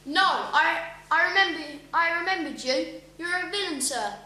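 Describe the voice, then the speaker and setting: A very high, sharp young voice of a school pupil acting plays an outraged accuser in a radio melodrama. The room echoes around it. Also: lively intonation, normal speed, exaggerated voice. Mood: accusing. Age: child, about 12 to 13. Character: outraged accuser confronting and fighting a villain. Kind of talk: amateur radio play melodrama. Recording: echoey room, faint hum.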